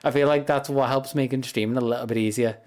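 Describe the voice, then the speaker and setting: A man chats in a loose, rambling way on a livestream. His voice is deep and grumbly, and he keeps it low instead of projecting, so a close, high-gain mic picks up every soft word. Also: steady tone, quick pace. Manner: rambling, chatty, soft-spoken without projecting. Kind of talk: casual livestream chat. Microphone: high-gain streaming mic up close.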